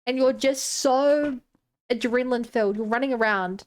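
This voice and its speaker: A woman's voice: fairly high pitch, normal speed, smooth. A woman in her twenties streaming a game, rambling and joking as she talks to her chat. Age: 20s. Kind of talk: casual gameplay commentary.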